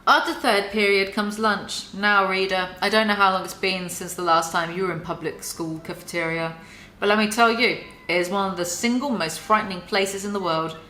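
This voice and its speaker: A woman reads aloud with mock drama and keeps cutting in with sarcastic asides. Her voice is bright and sharp. The sound carries a noticeable roomy echo. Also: medium pitch, fairly even intonation, moderate pace. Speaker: YouTuber reading and mocking a book. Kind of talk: dramatic reading with sarcastic commentary.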